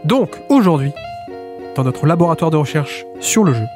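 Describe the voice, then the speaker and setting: A man's voice: fairly high pitch, big pitch swings, moderate pace, darkish tone, soft background music. A man with a cold hosting a basketball podcast, chatting through the introduction with restarts and small laughs. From France. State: has a cold. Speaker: podcast host.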